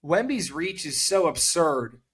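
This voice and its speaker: A man co-hosting a casual sports talk show, rambling and repeating words, with a loud voice that cuts through noise. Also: on the high side, sing-song, a little slow, crowd noise. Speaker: podcast co-host.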